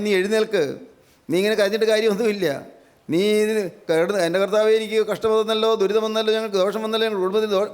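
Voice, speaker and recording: A male preacher with a notably high voice delivers a devotional sermon. He exhorts earnestly, building through short repeated phrases that shift between prayer and direct appeal to listeners.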